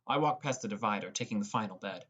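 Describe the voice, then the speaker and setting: A man's voice: on the low side, fast talker, fairly bright tone, husky. A young man reading scripted first-person narration as an audiobook narrator.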